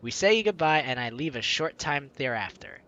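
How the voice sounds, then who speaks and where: A man's voice: medium pitch, big pitch swings, normal speed, pushed and bright, dull audio. A man hosting a gaming video, playfully mixing jokey commentary with theatrical read-aloud narration.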